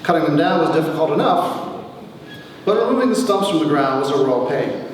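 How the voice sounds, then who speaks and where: A middle-aged man giving a wry, theatrical reading of his own comic memoir as its author, with deliberate pauses, recorded in a large echoey hall from a distance. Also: on the high side, lively intonation. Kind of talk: dramatic comic memoir reading to a live audience. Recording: echoey hall, distant mic, faint noise.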